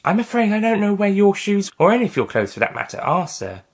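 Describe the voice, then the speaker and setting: A man's voice: high-pitched, very animated, fast, pitched above the performer's own voice. A man voicing an earnest, polite female space agency agent in an audio science fiction story. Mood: puzzled, apologetic.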